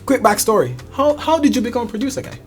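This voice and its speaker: A man's voice: very high voice, fast, faint music behind. A man hosting a casual studio interview in a chatty, informal, jokey way. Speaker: show host. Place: music studio.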